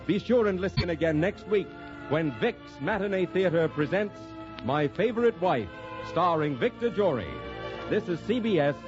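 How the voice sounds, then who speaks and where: A man's voice: high voice, expressive, moderate pace. A man with an American accent reading scripted credits as a 1940s radio announcer. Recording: muffled old radio broadcast recording. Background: music.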